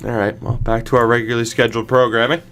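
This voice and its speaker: A man commentating on a video game livestream, talking to his viewers in an animated way and repeating phrases for emphasis. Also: mid-range voice, fast talker.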